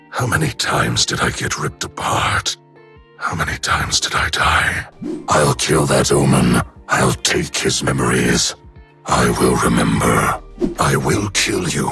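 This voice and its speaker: A vengeful, tormented wraith from a video game. The voice is extremely deep and full of gravelly creak, dragging slowly through each phrase over music. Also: male voice, bright, forceful tone, exaggerated voice. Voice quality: gravelly, rasping.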